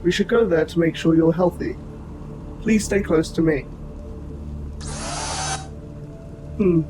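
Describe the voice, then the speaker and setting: Robot soldier, male actor: fairly high male voice, formal and precise, protective and gentle, audio drama with prominent music underneath. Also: lightly acted, poor audio. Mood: calm, caring. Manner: formal, precise, measured wording. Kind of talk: scripted audio roleplay, addressing the listener.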